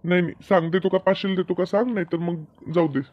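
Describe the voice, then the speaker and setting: Man as village father, high voice, blunt, impatient, placating, comedy cartoon, dull lo-fi recording. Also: steady tone, fast talker, dry recording. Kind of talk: Marathi comedy cartoon dub.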